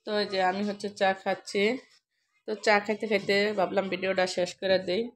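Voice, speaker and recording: A woman's voice: medium pitch, little pitch movement, fairly quick. A woman with a Bangladeshi accent chatting casually and colloquially to viewers as she vlogs from home. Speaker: home vlogger and mother. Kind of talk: casual home cooking vlog chat. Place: at home, partly in the kitchen while frying. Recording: noisy home recording with clicks.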